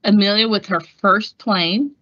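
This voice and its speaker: A woman's voice: medium pitch, unhurried, a bit bright, dry recording, muffled recording. A woman reading a children's storybook aloud in a playful, chatty way, adding her own exclamations. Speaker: read-aloud host.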